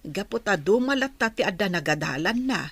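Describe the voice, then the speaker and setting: A woman playing a loving, earnest mother giving gentle advice in an Ilocano radio drama. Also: medium pitch, animated, a little fast, slightly rough, no room sound. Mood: tender, earnest.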